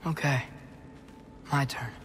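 An actor playing an earnest, sensitive teenage boy warrior in a video game, soft-spoken and hesitant. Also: mid-range voice, fairly even intonation, takes it slowly, slight background noise. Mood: friendly. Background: music.

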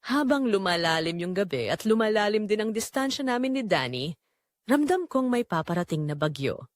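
Woman performing anguished betrayed wife, audio drama narration, confiding, wounded, animated intonation, close dry recording. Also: medium pitch, moderate pace, no echo, close to an everyday voice. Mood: anguished, foreboding. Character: Rosa, anguished betrayed wife, doubting her own sanity. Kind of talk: dramatic first-person scripted narration.

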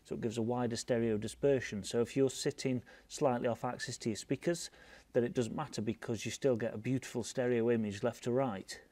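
A man's voice: medium pitch, steady tone, a little fast, dark tone. Enthusiastic British man presenting a product. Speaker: audio shop presenter. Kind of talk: product review narration to camera.

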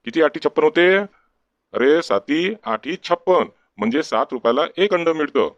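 A man's voice: a little high, animated, normal speed, no room sound, highs cut off, natural voice. A man voicing an exasperated, scolding village schoolteacher in a comic cartoon. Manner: scolding, questioning, name-calling.

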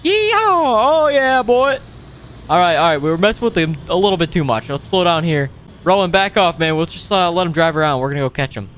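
A man hosting a gaming video with casual, playful roleplay commentary, excitable and given to exclaiming. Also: high voice, a little fast, a little hiss or hum. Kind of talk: casual improvised roleplay commentary over gameplay.

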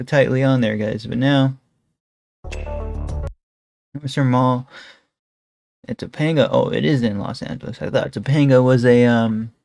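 A man's voice: medium pitch, gravelly, a little mellow. A young man streaming a casual reaction, rambling with lots of fillers like "bro" and "guys", talking into a headset at home. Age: young adult. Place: indoor room at home. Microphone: headset, webcam framing.

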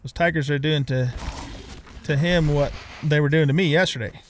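Mature man, slightly high voice, casual gameplay commentary, rambling, thinking aloud, noticeable background noise. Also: fairly even intonation, normal speed. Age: middle-aged or older. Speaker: let's play streamer.

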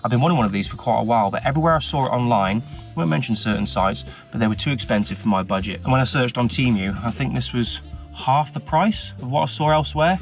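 A man's voice: mid-range voice, fast talker, gravelly. English guy in his 30s vlogging from his van, cheeky and upbeat, music playing under him. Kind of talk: casual vlog chat to camera. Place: inside a parked camper van. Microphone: action camera on a mount.

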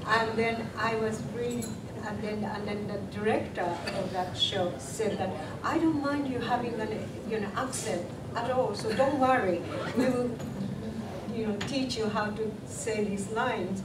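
A woman's voice: fairly high pitch, distant mic, heavy background noise. An older woman telling stories on a convention panel, laughing often. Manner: slow, laughing storyteller. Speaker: panel guest actress. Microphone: table mic on stand, far. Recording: roomy hall with loud crowd.

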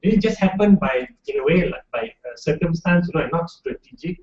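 A man's voice: a little high, animated, a little fast, darkish tone. A man with a Malaysian English accent moderating a panel, asking long, hedging questions into a shared handheld mic. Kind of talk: panel discussion. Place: office event space with audience. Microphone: shared handheld mic, far camera. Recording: roomy, distant, dull lo-fi sound.

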